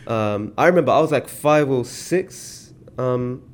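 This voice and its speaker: A young British man, telling stories in a relaxed, slangy way as a podcast guest. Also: medium pitch, unhurried. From Britain. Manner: relaxed storytelling with slang and fillers. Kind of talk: casual podcast chat.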